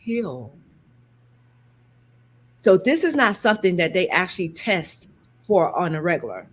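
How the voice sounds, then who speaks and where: A woman's voice: lowish voice, very animated, measured pace. A woman with a scratchy voice hosting an online interview, conversational, sympathetic and encouraging. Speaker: host, interviewer. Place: remote video call.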